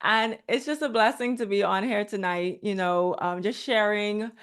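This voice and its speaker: A woman's voice: medium pitch, moderate pace, fairly bright tone, clear, resonant tone. A woman from the Bahamas with a Bahamian accent, a guest speaking earnestly and steadily with many ums on a video call. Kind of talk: online training call.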